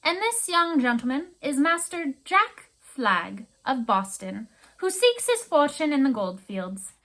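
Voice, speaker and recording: A woman voicing a calm, courteous male butler who speaks formally. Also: high-pitched, measured pace, exaggerated voice. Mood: polite.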